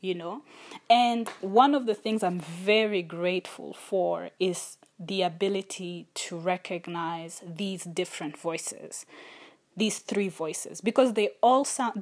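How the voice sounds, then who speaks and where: A woman's voice: fairly low pitch, a little slow, a little mellow. Young woman, podcast host, reflective and earnest, personal. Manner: earnest, thoughtful, openly personal. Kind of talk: reflective solo podcast talk.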